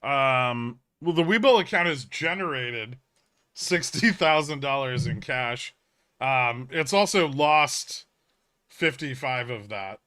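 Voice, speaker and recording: Man, mid-pitched, bright forceful voice, casual livestream host, blunt emphatic explainer, sweary. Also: expressive, slow, clean tone. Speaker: livestream host, trading educator. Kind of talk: casual livestream chat, answering viewer questions.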